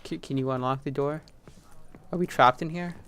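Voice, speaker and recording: A man's voice: mid-range voice, normal speed, a bit bright, clean tone. A man giving casual commentary as he plays a video game, at times reading on-screen text aloud. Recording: game music behind the voice.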